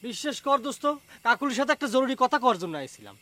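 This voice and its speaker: A man's voice: very high-pitched, lively intonation, very fast, pushed and bright, exaggerated voice. An actor playing a sheepish, evasive young villager in a comedy drama, protesting his innocence. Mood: defensive, sheepish. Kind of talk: Bengali TV comedy drama acting.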